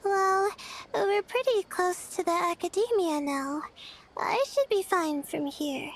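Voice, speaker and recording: Timid girl student for a game: very high voice, slow, halting, drowsy and hesitant. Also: slight background noise, full character voice. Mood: unsure, worried. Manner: halting, soft-spoken, unsure. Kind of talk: video game character acting.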